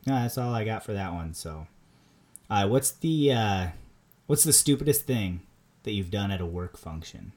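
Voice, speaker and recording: A man with a Minnesota accent, co-hosting a podcast in a relaxed chat, laughing often and repeating words as he starts sentences. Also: lowish voice, normal speed. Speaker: podcast co-host. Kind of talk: casual chat.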